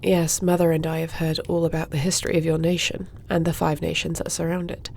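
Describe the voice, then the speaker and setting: A woman acting as a self-assured warrior princess and commander in a medieval fantasy audio drama. Her voice is low and creaky, with formal poise, over faint ambient noise. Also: level tone, a little fast, darkish tone. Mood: confident, cordial.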